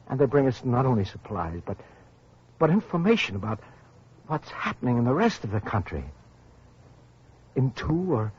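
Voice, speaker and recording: An actor playing a weary, earnest survivor of atomic war, narrating haltingly in an old-time radio drama style. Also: male voice, medium pitch, a little mellow, slightly rough. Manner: halting, hesitant, repeating words. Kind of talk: 1940s-50s radio drama acting and narration. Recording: dull old radio recording, music behind.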